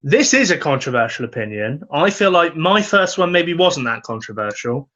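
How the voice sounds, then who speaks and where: Bright-voiced man, slightly dull podcast recording. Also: on the high side, very animated, moderate pace. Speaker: comedy podcast co-host. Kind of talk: casual comedic opinion chat.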